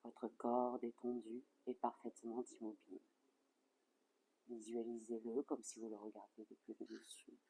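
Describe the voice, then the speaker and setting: A yoga nidra instructor leading a guided relaxation in a calm, soothing, measured way with soft phrase endings. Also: mid-range voice, gravelly, slightly rough, low-quality recording.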